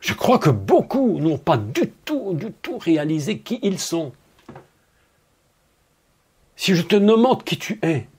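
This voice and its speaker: A man's voice: on the high side, darkish tone, husky. A man preaching a sermon, emphatic and drawn out, with rhetorical questions to his listeners.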